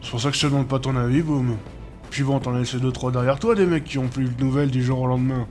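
A man's voice: medium pitch, fairly even intonation, fast, a little mellow, faint music behind, lightly acted. A man playing a cynical older chain-smoking gangster in an audio drama, blunt and grumbling in casual slang. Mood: irritated, needling. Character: gruff, cynical older male chain-smoking gang driver.

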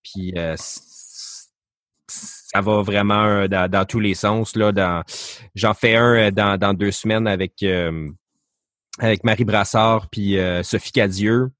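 A man's voice: on the low side, fairly even intonation, unhurried, a bit bright, no room sound, clean studio sound. A man, a podcast guest talking casually and hesitantly, with many fillers and restarts. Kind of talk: casual interview talk.

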